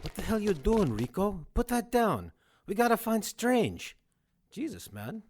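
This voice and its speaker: An actor playing an exasperated, nagging security guard with a gruff, blunt way of talking in an audio drama. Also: male voice, high voice, sing-song, a little slow, faint music behind.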